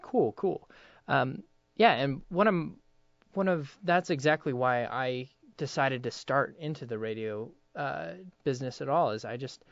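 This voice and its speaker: A man's voice: medium pitch, creaky, a bit bright, dry recording, muffled recording. A young man hosting a casual interview in a hesitant, loosely phrased way. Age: young adult. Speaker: interviewer and voice actor.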